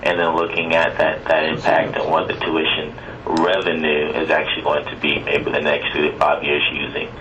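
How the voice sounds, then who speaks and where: A man's voice: extremely low pitch, completely flat delivery, normal speed, pushed and bright. A man speaking politely as a board member in a formal telephone meeting. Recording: distant phone pickup with faint noise.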